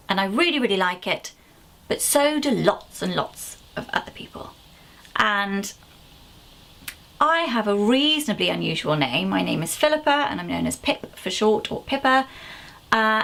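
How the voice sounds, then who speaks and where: A woman in her thirties from Wales, chatting casually to camera as a parenting vlogger, animated and jumping into a high voice for little asides. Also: gravelly.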